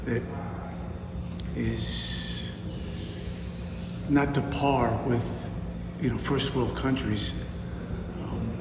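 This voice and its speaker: A male physician slowly sharing reflections from a medical mission, pausing often between phrases. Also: mid-range voice, steady tone. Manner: slow, halting, with long pauses. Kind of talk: reflective group recap. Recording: echoey room from far off, loud room noise.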